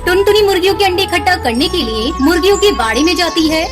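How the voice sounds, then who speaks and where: A woman narrates a scripted children's story. Her voice is very high in pitch. Music plays clearly behind the narration. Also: expressive, fast.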